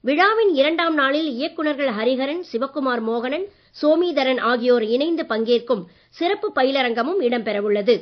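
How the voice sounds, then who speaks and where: Woman reading radio news, high voice. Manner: measured, even delivery. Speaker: newsreader. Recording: dull lo-fi radio broadcast.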